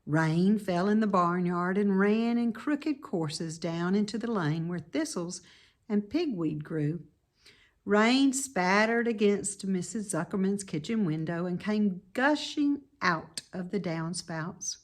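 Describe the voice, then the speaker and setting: A woman reading a children's story aloud as narrator, with deliberate, dramatic emphasis. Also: medium pitch, lively intonation. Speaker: storybook reader.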